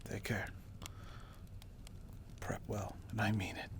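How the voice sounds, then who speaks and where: A man voicing a brave but wary barbarian recruiter in a dark fantasy ASMR roleplay, speaking in a hushed, halting way. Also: lowish voice, flat delivery. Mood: cautioning, gruff. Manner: slow, hushed, halting delivery with pauses. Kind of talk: ASMR roleplay, whispered and softly spoken.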